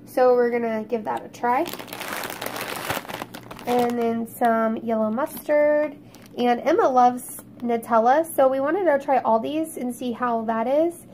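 A woman's voice: fairly high pitch, steady tone, measured pace, warm tone. A woman in her thirties with an Oklahoma Southern accent, narrating a casual grocery haul in a chatty, homey way, her voice congested and a little crackly from a cold. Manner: chatty, homey, listing items. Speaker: YouTube vlogger, mom.

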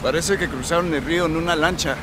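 An actor playing a loyal Mexican soldier with a Mexican accent, brisk and matter-of-fact, in a military game. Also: male voice, on the high side, noisy background, lightly acted. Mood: alert, tense.

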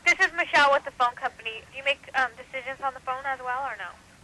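A woman telemarketer giving a phone sales pitch in an informal, persistent manner. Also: quick pace, a crowd in the background. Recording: noisy telephone line.

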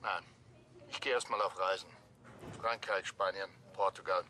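An actor playing a laconic, disillusioned freelance journalist in a German radio play, speaking tersely. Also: male voice, low voice, fairly even intonation, moderate pace, sharp tone, a little hiss or hum. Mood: resigned.

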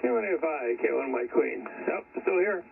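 A man's voice: on the high side, lively intonation, normal speed, vocal fry, distant mic. A man chatting casually as an amateur radio operator over shortwave radio. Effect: shortwave radio transmission. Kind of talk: casual ham radio chat. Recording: tinny, noisy shortwave radio reception.

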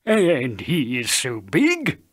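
Flustered old storybook king, husky and halting, read by a man at a slow pace. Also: mid-range voice, huge pitch swings, exaggerated voice. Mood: startled. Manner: stammering, startled.

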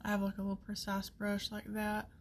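A woman with a Southern US accent chatting casually through a makeup tutorial, folksy and rambling as she thinks out loud. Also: medium pitch, completely flat delivery, fairly quick, a little hiss or hum. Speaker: makeup artist hosting a tutorial.

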